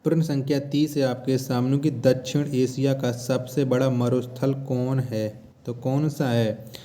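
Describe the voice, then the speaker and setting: A man with a mid-pitched, mellow voice works through a practice quiz as a coaching teacher. He poses each question, asks it again, then states and repeats the answer. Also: steady tone, a little slow.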